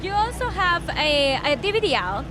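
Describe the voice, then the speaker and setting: A woman's voice: very high voice, sing-song, normal speed, noisy background. A woman with a Cuban Spanish accent, a TV entertainment reporter, asking bubbly, giggly questions at a red carpet.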